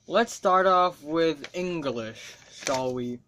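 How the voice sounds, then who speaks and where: A teenage boy from Hong Kong, a native Cantonese speaker, chats to his camera in a slightly high voice at an unhurried pace. He rambles, swears without a second thought and makes wry asides about himself. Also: pushed and bright, slight background noise. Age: teens. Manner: rambling, swears freely, self-mocking asides. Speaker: student vlogger. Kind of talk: casual talk to camera.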